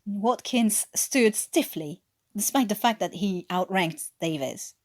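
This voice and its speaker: A woman from France narrates fiction expressively, her pitch moving a lot around a medium register. Her French accent leans toward an attempted English one. The recording is close and dry. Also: lively intonation, takes it slowly, darkish tone, dry recording. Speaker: amateur podfic narrator. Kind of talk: expressive scripted story narration.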